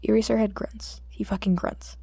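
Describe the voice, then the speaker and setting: A woman's voice: mid-range voice, little pitch movement, moderate pace, vocal fry, mellow, slightly rough, no room sound. A woman reading scripted fiction as an audiobook narrator.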